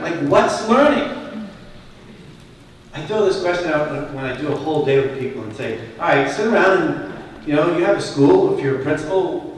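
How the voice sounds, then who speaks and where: A man's voice: a little high, slight background noise. Man, Boston accent, conference keynote, conversational and emphatic, very echoey hall, distant mic. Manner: conversational, emphatic, asks the audience questions.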